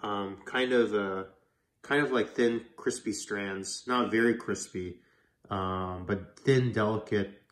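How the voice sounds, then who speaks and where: A man's voice: on the low side, fairly even intonation, takes it slowly. A man with a cold, congested, casually chatting and rambling through a home cooking vlog in his kitchen. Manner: chatty, rambling, self-correcting. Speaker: food vlogger.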